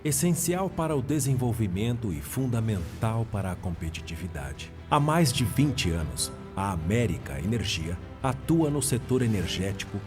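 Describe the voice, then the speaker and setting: A man with a low voice reads a corporate promotional script over clear background music. His delivery is measured and emphatic, with a lively rise and fall. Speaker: corporate video narrator.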